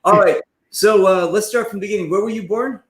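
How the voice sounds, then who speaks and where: A man with a fairly high voice hosts a casual interview. He talks in a conversational, joking way and often jumps in with short interjections. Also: brisk, slightly rough. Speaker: interview host.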